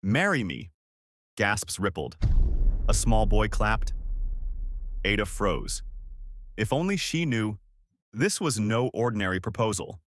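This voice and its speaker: Animated male dramatic storyteller. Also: fairly low pitch, a little slow, pauses often. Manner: suspenseful, emphatic short phrases. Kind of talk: dramatic scripted story narration.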